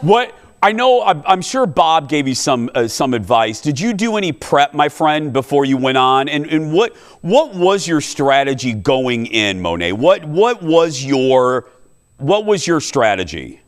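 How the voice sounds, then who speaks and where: Lively, enthusiastic man in his fifties. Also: on the high side, unhurried. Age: 50s. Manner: enthusiastic, stumbling restarts, playful teasing. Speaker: talk show host. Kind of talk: casual chat.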